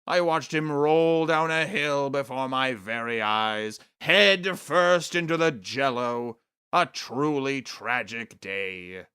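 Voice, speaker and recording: A man's voice: medium pitch, slow pace, sharp tone, smooth. A man voicing a pompous, sinister aristocratic snake-man, grandiose and melodramatic, in a theatrical comedic monologue. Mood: mock-mournful, dismissive.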